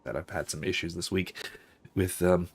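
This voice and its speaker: A man hosting a game stream, his voice hoarse and husky as he loses it. He rambles in a halting way, full of fillers and throat-clearing, at a lowish pitch. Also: steady tone. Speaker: host. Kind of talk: casual let's-play sign-off.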